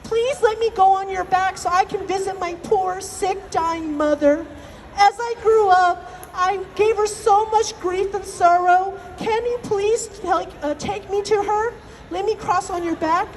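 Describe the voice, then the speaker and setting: Small male storybook rabbit, played by a woman: very high, whiny, wheedling, meek. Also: a little slow, a little mellow, a little hiss or hum, low-quality recording. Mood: pleading, wheedling. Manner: pleading, complaining.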